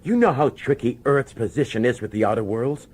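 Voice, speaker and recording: A man's voice: mid-range voice, lively intonation, brisk, darkish tone, natural voice. An actor playing a middle-aged male police commissioner in a radio drama, nervous and insistent, pleading with urgency. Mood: agitated, urgent. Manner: urgent, hesitant, pleading authority.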